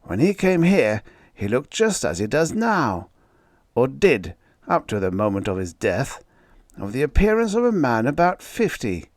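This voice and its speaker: A man voicing a grave, hesitant gentleman who recounts strange events earnestly. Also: medium pitch, huge pitch swings, a little slow, no echo, studio quality, voice pushed up. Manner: earnest, reluctant storytelling.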